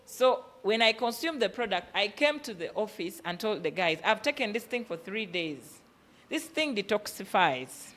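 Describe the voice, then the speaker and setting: Female workshop speaker, Ugandan accent, mid-pitched voice, motivational business delivery built on pointed rhetorical questions. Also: fairly bright tone. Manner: emphatic, asking rhetorical questions and answering them.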